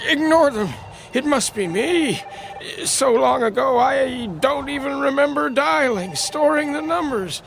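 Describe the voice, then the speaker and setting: High-pitched, creaky, haunted old man. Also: animated, slight background noise, exaggerated voice, rough recording. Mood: anxious, rising panic. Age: older. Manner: halting, brooding, agitated monologue. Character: lonely, weary, haunted old man, last one left. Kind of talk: dramatic radio play acting.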